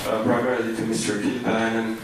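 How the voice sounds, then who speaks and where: A man in the audience asking a halting question full of pauses and fillers. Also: fairly low pitch, monotone, background noise. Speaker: audience member. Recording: very echoey hall, distant mic, clear clicks.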